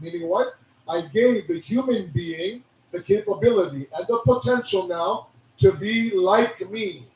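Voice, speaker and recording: A hoarse man giving a sermon, preaching with animated rhetorical questions. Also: sits high, a little hiss or hum. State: hoarse, losing his voice. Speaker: religious speaker. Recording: echoey hall from a distance.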